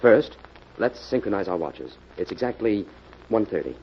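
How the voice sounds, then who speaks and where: An actor playing a brisk, determined investigator in an old radio mystery, decisive and giving orders. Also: male voice, fairly low pitch, sing-song. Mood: urgent, decisive. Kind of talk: 1940s radio mystery acting. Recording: muffled, noisy old-time radio recording.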